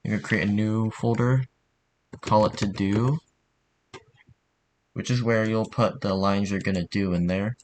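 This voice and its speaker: A man's voice: lowish voice, steady tone, measured pace, pauses often. A man giving a casual spoken tutorial in an informal, offhand way. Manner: informal, offhand, mildly sweary. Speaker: tutorial narrator.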